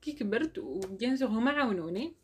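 A woman's voice: fairly high pitch, lively intonation, quick pace, breathy edge. A young woman with an Algerian Arabic accent, chatting casually and telling a personal story. She laughs often and mixes French words into her speech.

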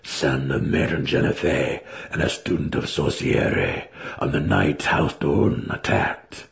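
A man playing a proud, solemn male dark elf survivor in a fantasy audiobook. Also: rumbling low, sing-song, unhurried, creaky, pitched below the performer's own voice, heavily acted. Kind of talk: dramatic fantasy audiobook reading.

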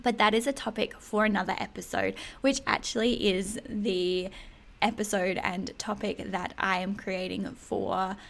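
A woman with an Australian accent hosts a solo podcast in a casual, chatty way. Her voice is a little husky and sits at a middling pitch. She speaks candidly and puts firm emphasis on her points. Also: fairly even intonation, measured pace. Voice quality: slightly husky. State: throat a bit hoarse in early morning. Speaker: podcast host.

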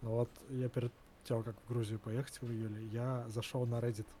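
A man's voice: medium pitch, little pitch movement, brisk, warm tone, slight background noise. A man in casual chat who rambles and hesitates with many fillers.

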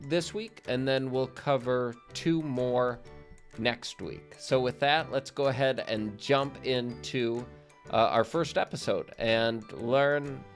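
A young man hosting a faith podcast, speaking earnestly in casual talk, often restarting sentences and using fillers. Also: mid-range voice. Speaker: podcast host.

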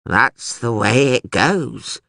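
A man's voice: medium pitch, lively intonation, slow pace, gravelly, pushed and bright, dry recording, studio quality, far from the mic, pitched above the performer's own voice, heavily acted. A man voicing a thin old prisoner in an audiobook, mumbling, world-weary and gloomy yet proud. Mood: resigned. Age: older.

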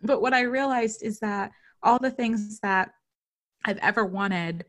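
A woman in her thirties, a guest on an interview show, speaking at a mid-range pitch for a woman. She is chatty and animated, telling stories in an easy, conversational way. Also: measured pace. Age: 30s.

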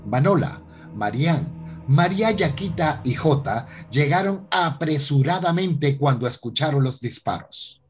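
A man's voice: on the high side, lively intonation, unhurried, hardly any pauses, faint music behind. A man hosting and narrating a radio soap opera from a script in a theatrical presenter's manner. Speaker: host and narrator.

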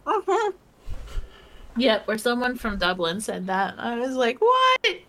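A woman's voice: a little high, huge pitch swings, measured pace, pushed and bright. Tipsy, tired woman co-host, rambling and giggling through casual chat. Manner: rambling, giggly, lots of fillers. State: tipsy and socially drained. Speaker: podcast co-host.

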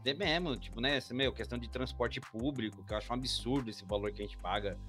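A man hosting a podcast in rapid, slang-filled talk. His pitch is ordinary for a man, and faint music runs under his voice. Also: a bit bright. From Brazil. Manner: slangy, chummy, quick asides. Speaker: podcast host. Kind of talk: casual chat.

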